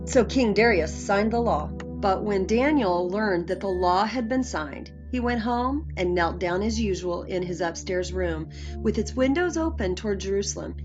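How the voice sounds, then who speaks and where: Female Bible story narrator, medium pitch, scripted read-aloud, dull recording with soft music. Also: lively intonation, moderate pace. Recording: muffled audio with faint music.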